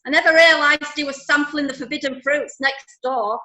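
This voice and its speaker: A woman's voice: high-pitched, steady tone, moderate pace, sharp tone, poor audio. A woman playing a chatty, gossipy wife. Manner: gossipy, cheerful. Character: chatty oblivious wife of the defendant. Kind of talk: amateur radio drama.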